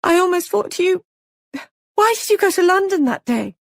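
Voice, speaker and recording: A woman's voice: very high voice, lively intonation, moderate pace, vocal fry, dry recording, studio quality, pitched above the performer's own voice, heavily acted. A woman voicing a frightened young wife, anxious and breathless.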